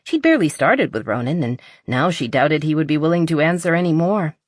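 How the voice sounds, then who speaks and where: A woman's voice: low voice, animated, a little fast, no echo, studio quality. A woman reading a romance novel aloud as a scripted audiobook narration.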